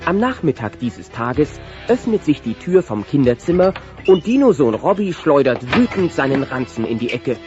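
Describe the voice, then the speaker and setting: A man narrates a radio play like a storybook. His delivery is animated, over clear background music. The recording is slightly dull. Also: on the high side, moderate pace. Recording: dull cassette sound.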